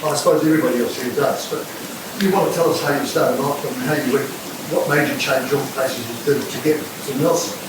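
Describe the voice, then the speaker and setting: A man's voice: medium pitch, fairly even intonation, a little fast. A man in the audience asking a hesitant, rambling question at a book festival. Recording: distant roomy mic with ambient noise.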